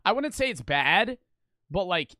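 A young man streaming casually, talking fast and animated with lots of swearing, close to the mic. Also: high-pitched, no echo.

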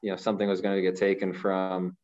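A man in his thirties from Ohio, a professional goalkeeper answering press conference questions in a measured way, often repeating words as he thinks. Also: low voice, monotone, a little mellow, soft background music. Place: small room, branded backdrop. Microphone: video call, masked. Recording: slightly dull lo-fi audio.

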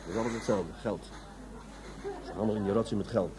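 An actor playing a gruff, world-weary police adjutant in a radio drama, blunt and matter-of-fact. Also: male voice, lowish voice, fast, dark tone, close to an everyday voice. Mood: bitter, controlled. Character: gruff, world-weary male police adjutant who masters his anger.

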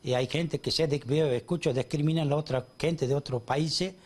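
A man from India with an Indian accent, giving casual, halting interview answers. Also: on the high side, darkish tone. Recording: noisy on-location TV interview.

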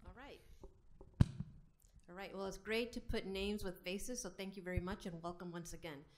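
A woman's voice: mid-range voice, fairly even intonation, normal speed. A woman hosting a semi-formal meeting from a podium microphone, introducing speakers and guiding the room. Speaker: program host introducing speakers. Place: government board chamber, indoors. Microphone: gooseneck podium microphone. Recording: faint room noise on podium mic.

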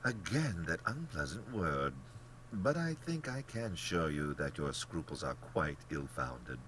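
Casting: smug, genteel storybook general, cold-blooded and pompous, forceful tone with big swoops, faint hum behind. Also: male voice, deep voice, very animated, unhurried, exaggerated voice, poor audio. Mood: unruffled. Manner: blandly polite, pompous, theatrically emphatic. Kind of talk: dramatic audiobook character acting.

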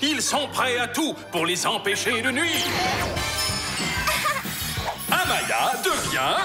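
An actress as a dramatic narrator of superhero adventures in a French cartoon, delivering scripted lines. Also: female voice, fairly high pitch, sing-song, unhurried. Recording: loud music and crashes behind.

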